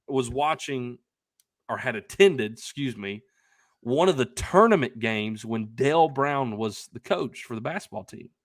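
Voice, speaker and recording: A young man co-hosting a podcast, telling a casual anecdote in a rambling, emphatic, excitable way. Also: mid-range voice, no echo, studio quality. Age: young adult. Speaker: podcast co-host telling a story.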